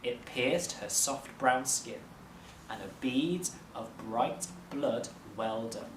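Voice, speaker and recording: A man narrating a children's story expressively, like a teacher reading aloud, with measured dramatic phrasing. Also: medium pitch. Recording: roomy, distant mic, faint noise.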